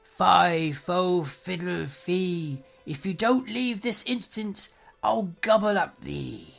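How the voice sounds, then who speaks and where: A storyteller voicing a mischievous boy who pretends to be a terrible troll, speaking in a loud, threatening boom. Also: takes it slowly, creaky, faint music behind, exaggerated voice.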